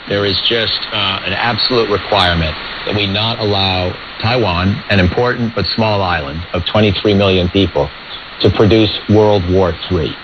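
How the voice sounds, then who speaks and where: An American man giving measured, diplomatic, explanatory answers as a foreign policy expert in an interview. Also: low voice. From United States. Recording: dull lo-fi radio relay with static noise.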